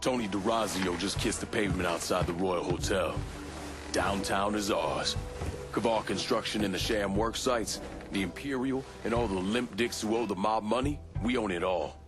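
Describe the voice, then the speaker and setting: A cold, menacing young crime boss from a video game, acted by a man, with a very low voice. He speaks in terse, commanding statements over clearly audible music. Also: normal speed, natural voice. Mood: cold, resolute. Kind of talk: cinematic game dialogue.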